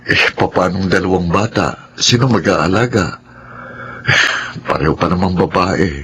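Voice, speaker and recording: A man's voice: low voice, normal speed, fairly bright tone, a little hiss or hum. An actor playing a struggling, apologetic Filipino husband and father in a radio-style drama. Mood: weary. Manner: apologetic, downcast. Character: struggling guilt-ridden Filipino husband and father, a poor driver. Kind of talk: radio drama acting and first-person narration.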